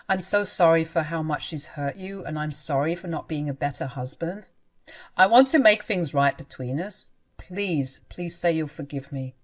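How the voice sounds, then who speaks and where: Woman voicing a soft, pleading young husband. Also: low-pitched, normal speed, lightly acted. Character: young husband torn between wife and mother.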